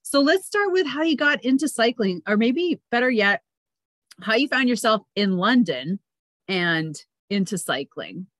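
A Canadian woman hosting a podcast, chatting casually and excitably as she interviews a guest. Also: on the high side, unhurried, no echo. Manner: excitable, enthusiastic.

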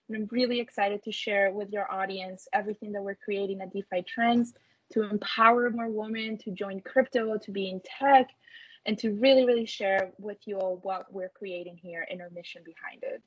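A woman's voice: medium pitch, fairly even intonation, normal speed, husky, no room sound, dull audio. A woman from Colombia whose first language is Spanish, speaking earnestly and effusively as an interview guest, telling her story in a conversational way. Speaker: interview guest, tech company co-founder.

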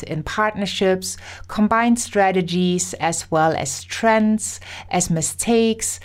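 A woman hosting a podcast, asking stumbling questions and giving an upbeat promotional wrap-up. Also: lowish voice. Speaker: podcast host. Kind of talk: podcast interview and outro.

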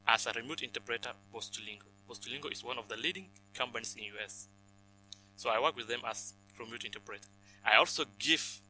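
A man's voice: medium pitch, sharp tone, rough recording. A man, answering interview questions earnestly and listing things with frequent "you know". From Somalia, raised in Kenya. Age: 20s. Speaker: podcast guest.

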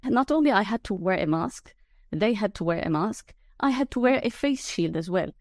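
Young woman host, low soft voice, dry close sound; casual, laughs a lot, hesitant. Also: animated, brisk, no echo. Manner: laughs often, hesitant with fillers. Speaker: podcast host. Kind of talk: casual conversational chat.

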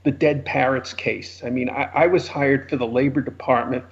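A man's voice: medium pitch, steady tone, normal speed. A man in his sixties with a New York accent, a former lawyer telling dry, self-deprecating stories in an interview. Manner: wry, anecdotal, self-deprecating humor. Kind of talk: conversational storytelling interview. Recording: slightly muffled lo-fi audio.

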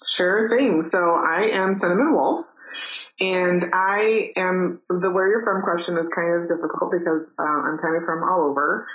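A woman in her thirties from New Mexico, talking casually as a podcast guest, chatty with many "um"s and soft laughs. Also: fairly low pitch, normal speed, poor audio. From New Mexico, USA. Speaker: guest, photographer and educator. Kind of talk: casual interview chat.

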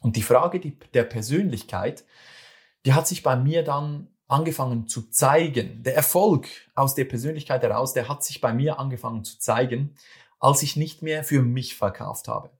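A man's voice: mid-range voice, normal speed. Earnest Swiss German man giving advice. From Switzerland. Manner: earnest, repeats and restarts phrases, addresses listener directly. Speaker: speaker giving communication advice. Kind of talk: motivational monologue.

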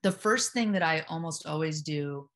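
A woman with a naturally low voice, speaking calmly and deliberately as a hypnotherapist guest in a casual interview. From Memphis, US South. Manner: calm, deliberate, steady delivery. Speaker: hypnotherapist at a psych hospital, guest.

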